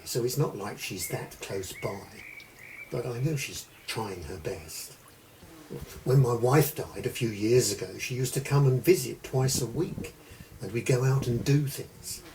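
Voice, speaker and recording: Casting: man playing elderly British widower, stoical and housebound, low voice, short-film monologue, off-mic with slight noise. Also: a little mellow, lightly acted. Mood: wistful. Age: older. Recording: distant mic, faint background noise.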